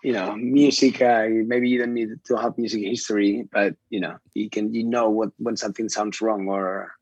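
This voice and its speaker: A man's voice: on the low side, fairly even intonation, normal speed, a little mellow, slightly rough, dry recording, dull audio. A man, a guest chatting loosely with lots of "you know" fillers. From Spain. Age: 40s. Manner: rambling, many "you know" fillers, easy laughs. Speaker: guest, club music director. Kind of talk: casual interview chat.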